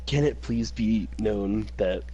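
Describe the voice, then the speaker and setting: A man's voice: on the low side, slow, warm tone, highs cut off. A man playing in a tabletop game, chatting casually and playfully. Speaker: player.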